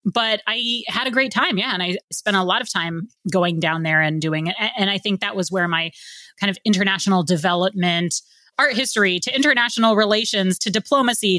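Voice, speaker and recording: A woman's voice: medium pitch, no room sound. Woman, American, thirties, podcast guest, casual storytelling, laughs a lot, dry close sound. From United States. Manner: animated storyteller, laughs often. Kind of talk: casual interview chat.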